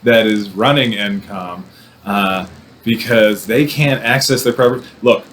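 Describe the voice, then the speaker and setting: A man's voice: lowish voice, a little slow, fairly bright tone, a little hiss or hum. A man giving casual, enthusiastic film commentary in an effusive, digressive way, with playful mock-combative asides. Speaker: film reviewer presenting a show.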